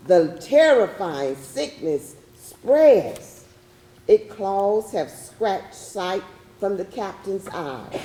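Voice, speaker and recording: A woman's voice: mid-range voice, warm tone, slight background noise. A woman playing a fearful, haunted slave-ship sailor in a grave, solemn readers theatre recital, recorded in a roomy, echoing space.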